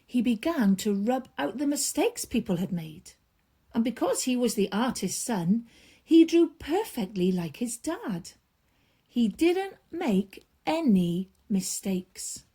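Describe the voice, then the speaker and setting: A woman storyteller reading a children's bedtime story aloud, expressively, with drawn-out emphasis and hushed drops. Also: fairly high pitch, sing-song, husky.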